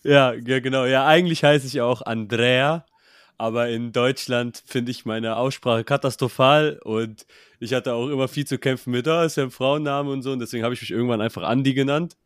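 A man's voice: mid-range voice, fairly quick, clean tone, no echo, clean studio sound. A man who is a guest on a podcast, speaking casually and briefly.

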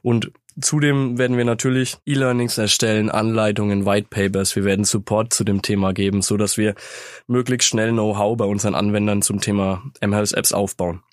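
A man with a slight cold, explaining things in a measured way as a guest in a business interview, recorded in a studio. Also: lowish voice, steady tone, dry recording. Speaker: sales manager, interview guest. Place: company sound studio. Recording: dry studio sound.

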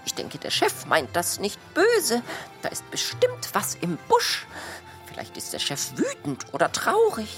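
A thoughtful, kindly friend in a children's audio play. The fairly high voice is extremely animated, swooping through each considerate line. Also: female voice, a little slow, soft background music.

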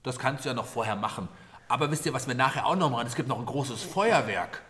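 A man's voice: medium pitch, expressive, quick pace, sharp tone. A man hosting an improvised children's audio play, chatting playfully and encouraging others with questions.

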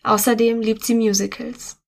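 Female narrator reading print copy aloud, steady and measured, on the higher side, close mic. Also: vocal fry. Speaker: article reader. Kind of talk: scripted narration of a magazine article.

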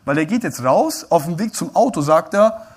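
Lively man giving a stage talk. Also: on the high side, moderate pace, slightly rough. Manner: lively, joking, addresses the audience directly with questions. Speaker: keynote speaker at a brokers' congress. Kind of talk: live stage talk to an audience. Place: congress stage before an audience.

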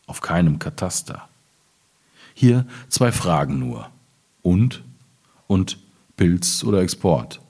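A man from Germany's Ruhr region, a poet at a literary reading, speaking in a husky, deliberate, measured way. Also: sits low, big pitch swings, darkish tone. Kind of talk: poetry reading with informal commentary.

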